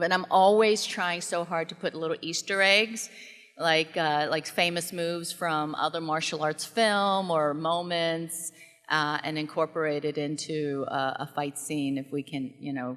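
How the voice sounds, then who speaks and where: A middle-aged woman with a General American accent talks as a guest at a live event, animated and quick with asides. Her voice sits low yet sounds bright and forceful. A long reverb from the hall trails each phrase. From came to the US as a child. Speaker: guest actress on stage. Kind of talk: live stage interview.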